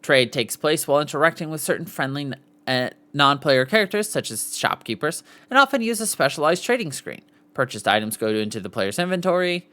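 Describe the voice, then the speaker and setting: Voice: medium pitch, big pitch swings, fairly quick, fairly bright tone. A podcast host reading an article aloud in a formal way, with the odd stumble and brief aside.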